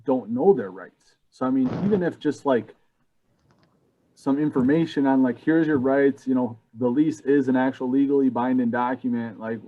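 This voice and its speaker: A man's voice: mid-range voice, moderate pace. A man making casual, off-the-cuff forum remarks in a loose, colloquial way full of filler words, on dull lo-fi audio.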